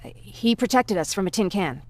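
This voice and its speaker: A woman playing a weary, grieving young survivor in a video game, hesitant and soft-hearted. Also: medium pitch, expressive, fairly quick, no room sound, natural voice.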